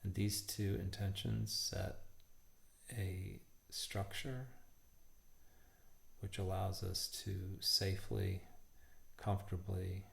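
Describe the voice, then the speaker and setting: A man leading a guided meditation in a calm, measured way, in short phrases with long pauses. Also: sits low, vocal fry.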